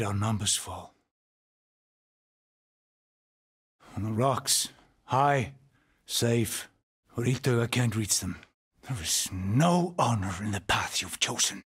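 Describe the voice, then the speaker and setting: A man playing a weary, haunted Norse settlement leader in a video game, speaking gravely and deliberately in a husky, breathy voice. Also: fairly low pitch, fairly bright tone, no echo, clean studio sound, heavily acted. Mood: bleak, anguished, then stern, pleading. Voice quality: husky, breathy, often near a whisper. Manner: slow, deliberate, grave, with pauses. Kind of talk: dramatic video game acting.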